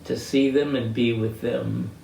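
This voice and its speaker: An elderly man with an American accent reminisces about his life in a halting, reflective way, often searching for words. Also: medium pitch, fairly even intonation, gravelly, mellow. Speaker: interviewee sharing life stories. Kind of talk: reminiscing personal storytelling. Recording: roomy, distant mic with faint noise.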